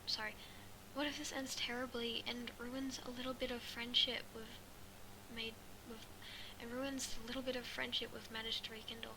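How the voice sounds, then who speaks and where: A woman with a fairly high voice reads a first-person story aloud over clear background noise. Her delivery stays within a very narrow, flat range of pitch. She goes haltingly, tripping on phrases and going back over them like an amateur reader. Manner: halting, stumbling and rereading phrases. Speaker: amateur fan fiction reader.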